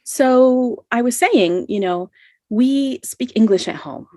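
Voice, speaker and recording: A woman's voice: a little high, slow, mellow, no echo, clean studio sound. A middle-aged woman telling a personal story as a podcast guest, laughing often and filling with "you know" and "like". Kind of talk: casual storytelling in an interview.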